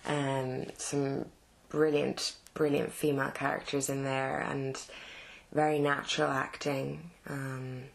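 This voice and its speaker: A woman from Ireland with a Dublin Irish accent, an actress answering interview questions in a halting, casual way with many fillers and restarts. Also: rumbling low, little pitch movement, gravelly, poor audio.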